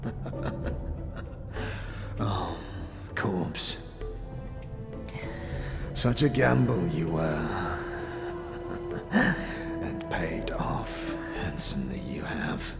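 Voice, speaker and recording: A man's voice: sits low, drawn-out words, gravelly, long pauses, voice pushed down, exaggerated voice. A man voicing a greedy, crotchety old boss of scavengers who gloats and savours his words, in a fantasy audio drama. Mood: gloating. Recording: roomy echo under prominent music.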